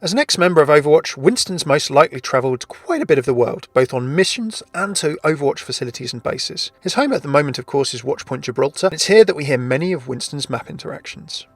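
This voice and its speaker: Fast-talking male gaming narrator. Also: a little high, animated, no room sound. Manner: inquisitive, explanatory. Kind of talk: scripted lore commentary on a video game. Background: background music.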